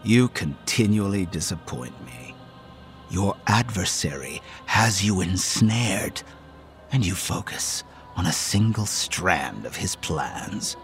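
Low, hushed, disdainful supernatural being. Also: male voice, sing-song, faint music behind, exaggerated voice. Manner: slow, formal, cryptic. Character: enigmatic, disdainful supernatural man with a skull cup. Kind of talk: horror audio drama acting.

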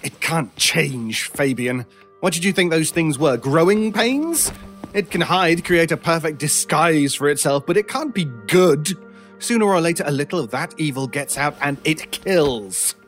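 An intense, bitter monster hunter. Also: male voice, a little high, animated, measured pace. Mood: bitter, accusing. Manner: urgent, accusing, swearing. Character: bitter, desperate, obsessed male monster hunter. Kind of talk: audio horror drama acting. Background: loud dramatic music.

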